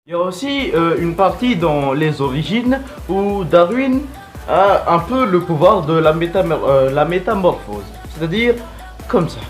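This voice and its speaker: Male video host, highly varied intonation, casual, rambling, blunt, music in background. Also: very animated, a little slow. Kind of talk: casual fan vlog commentary.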